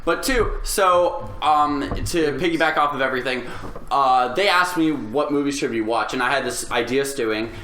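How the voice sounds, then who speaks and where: A man's voice: mid-range voice, moderate pace, bright, forceful tone. A man hosting a casual group podcast, chatting in an enthusiastic, emphatic and giggly way. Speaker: podcast host. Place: indoor room with other people. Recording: roomy, faint background noise.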